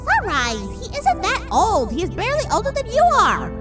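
A woman voicing an excitable, scolding pink pony in an audio story. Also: extremely high pitch, normal speed, heavily acted. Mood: indignant, excited. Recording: music playing behind the voice.

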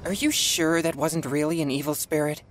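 A woman's voice: low voice, normal speed, background noise, natural voice. A woman voicing an earnest, grudging teenage boy exorcist in an anime game, plain-spoken and a little grumbly. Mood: doubtful. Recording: faint music behind.

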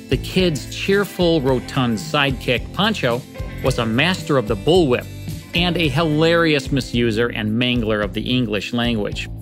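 A man in his fifties, a podcast host, reading a scripted introduction in a studio in a steady, informative way. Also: mid-range voice. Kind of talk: scripted narration. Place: studio set with a table. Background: music.

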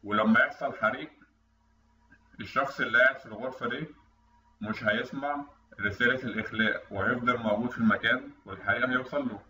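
A man's voice: medium pitch, steady tone, fast talker, sharp tone. A man with an Egyptian accent explaining technical material step by step as an online instructor. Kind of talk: tutorial explanation over screen recording. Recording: roomy, distant mic, dull, faint noise.

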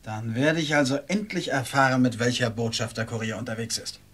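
An actor in a German audio drama playing a scheming military rebel leader who speaks with courteous, measured menace. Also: male voice, mid-range voice, a bit bright, breathy edge. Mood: intent, calculating.

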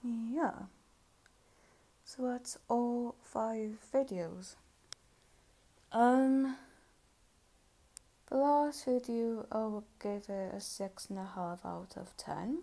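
A woman's voice: on the high side, extremely slow, creaky, pauses often, mellow. A woman giving casual, hesitant commentary as a reviewer in a reaction video. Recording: faint road traffic noise. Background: cars on a busy road.

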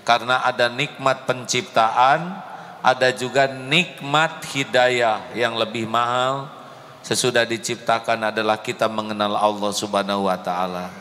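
Slow, deliberate preacher in an echoey hall. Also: male voice, medium pitch, steady tone, sharp tone. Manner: slow, deliberate, emotive, addresses the congregation. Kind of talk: mosque sermon. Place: large mosque hall, indoors. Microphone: handheld microphone. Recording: echoey hall with faint ambience.

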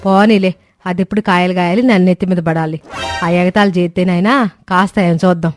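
A woman's voice: lowish voice, fairly even intonation, a little fast, soft background music, lightly acted. A woman voicing an irritable, bossy middle-aged village mother-in-law in a cartoon story, scolding and sarcastic. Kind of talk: cartoon moral-story voice acting.

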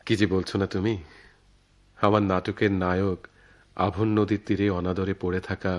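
An actor playing a brooding, fatalistic playwright in a radio drama, speaking in a deliberate, theatrical way. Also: male voice, low voice. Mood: grave, brooding. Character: brooding male playwright, philosophical, detached, fatalistic. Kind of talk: Bengali radio drama acting.

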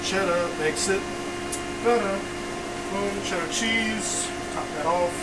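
A man in his thirties hosting a casual cooking demo in a small kitchen, loud and jokey, rambling with punchy asides. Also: sits high, slow pace. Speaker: cooking show host. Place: small cluttered kitchen, indoors. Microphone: camera mic a few feet away. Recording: distant camera mic, loud hum.

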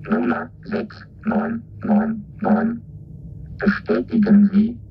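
An actor playing a formal military negotiation robot in a radio drama, with stiff, clipped, formulaic delivery. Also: male voice, fairly low pitch, heavily acted. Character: two military negotiation robots exchanging coded messages, formal, mechanical. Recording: dull, noisy recording.

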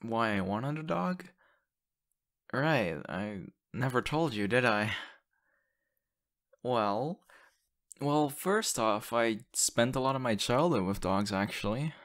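A man playing an excitable, sappy young boyfriend in an audio roleplay, talking in a gushing, hesitant, stammering way into a close mic. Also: mid-range voice, slow pace, pauses often. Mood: fond, wistful. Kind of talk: ASMR audio roleplay, conversational acting. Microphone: close condenser microphone.

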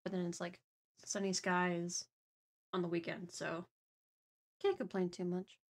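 A woman's voice: on the low side, little pitch movement, a little slow. Casual woman chatting on a livestream. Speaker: livestream host.

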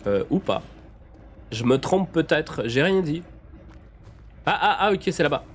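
Excitable young man streamer with a cold. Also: fairly high pitch, measured pace, a little hiss or hum. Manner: excitable, repeats himself, chats with viewers. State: nasal from a cold. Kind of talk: live gameplay commentary.

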